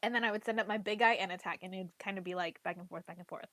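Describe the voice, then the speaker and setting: A woman recovering from a cold talks in a congested, slightly irritated voice at a middling pitch. She chats loosely and freely, filling her talk with "like" and "literally". The sound is dry and close, with no room around her. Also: very fast, a bit bright, dry recording. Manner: chatty, heavy use of "like" and "literally". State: congested, getting over a cold. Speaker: trading card game player and content creator. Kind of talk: casual chat.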